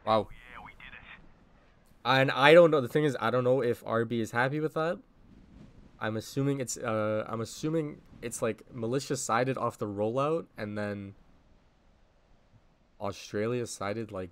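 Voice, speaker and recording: A man's voice: mid-range voice, unhurried, long pauses, clean tone. A man with a sick, strained voice casually commentating a livestreamed game match in an informal, rambling, sweary way. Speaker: esports commentator, livestream host.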